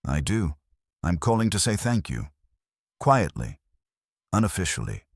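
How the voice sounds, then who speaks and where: A man's voice: low voice, big pitch swings, unhurried, slightly rough, no echo, voice pushed up, exaggerated voice. A man voicing a dry, precise, vaguely amused female board chair in an audiobook, clipped and official. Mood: dry, guarded.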